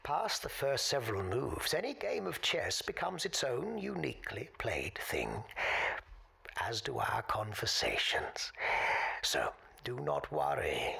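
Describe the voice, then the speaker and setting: A forgotten gentleman in an audio drama, very husky and breathy, with pitch that swoops widely. He speaks in formal, measured sentences, erudite and wistful. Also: exaggerated voice. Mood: wistful, courteous. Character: forgotten, wistful, erudite man calling a radio show. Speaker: recurring caller on a radio show. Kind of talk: audio drama monologue, storytelling.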